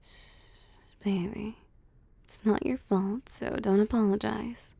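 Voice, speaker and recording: Woman playing a slow, creaky-voiced seductive vampire mistress. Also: medium pitch, flat delivery, no room sound, full character voice. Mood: soothing. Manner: soft cooing, teasing, often dropping to a whisper. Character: seductive, possessive female vampire CEO pampering her prey. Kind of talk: intimate roleplay audio, ASMR. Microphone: close, dry microphone.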